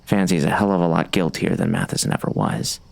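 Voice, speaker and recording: An actor playing a wry, brooding bounty hunter narrating a western audiobook in a gravelly voice. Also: male voice, deep voice, big pitch swings, fast talker, full character voice.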